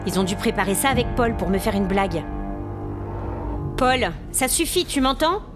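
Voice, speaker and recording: A woman's voice: mid-range voice, animated, normal speed, over music, close to an everyday voice. A woman playing a frightened female tourist trapped in a haunted hotel, talking to herself in agitation in a French horror audio drama. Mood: frightened, hushed, then angry, alarmed. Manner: breathless, agitated self-talk, from hushed muttering to exasperated calling.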